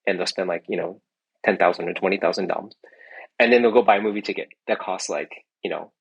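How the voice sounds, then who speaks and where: A gravelly, somewhat husky man in middle age talks through a casual interview. His voice sits on the low side, and he rambles along, restarting phrases and tagging on "right?" as he goes. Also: fast, dull audio. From United States. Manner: rambling with many restarts and tag questions. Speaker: entrepreneur guest.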